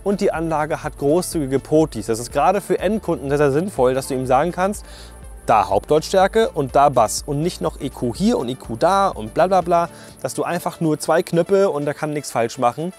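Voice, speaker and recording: A man speaks in a slightly high voice, reviewing audio equipment like a hands-on sound technician. His delivery is colloquial and opinionated, in the loose style of a casual spoken review. Faint music plays behind him. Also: animated, normal speed, darkish tone.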